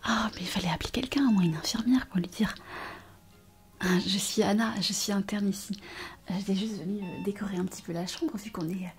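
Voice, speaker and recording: A woman playing a kind, eager young hospital intern in an ASMR roleplay, speaking in a soft, breathy whisper with an attentive, hesitant manner. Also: medium pitch, brisk, faint music behind, close to an everyday voice. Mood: friendly, surprised. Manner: attentive, hesitant, gently reassuring. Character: kind, eager, chatty young female hospital intern on her first night shift.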